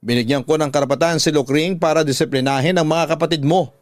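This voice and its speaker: A man's voice: on the high side, quick pace, no echo, studio quality, natural voice. A man playing a harsh, domineering father in a radio drama, stern, berating and threatening. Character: harsh, domineering father defending his mistress. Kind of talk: Tagalog radio drama acting.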